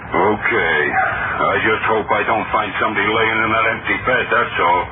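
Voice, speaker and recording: A man's voice: on the low side, fairly even intonation, normal speed, lightly acted. A man playing a plain-spoken, blunt, wry police officer in an old-time radio drama, with a casual delivery. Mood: wry, uneasy. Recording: noisy, muffled old radio broadcast.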